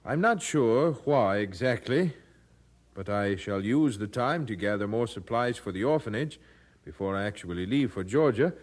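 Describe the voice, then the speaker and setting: An actor playing a devout, courteous, resolute preacher in an audio drama, speaking formally and earnestly. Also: male voice, lowish voice, expressive, moderate pace.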